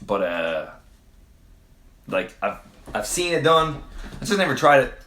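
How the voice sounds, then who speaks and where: A man's voice: mid-range voice, very animated, a little slow, bright, forceful tone, slight background noise. A man from Quebec whose first language is French, hosting a livestream and answering chat questions in a loose, hesitant way with fillers and swearing. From Quebec, Canada. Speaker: livestream host. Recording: roomy echo.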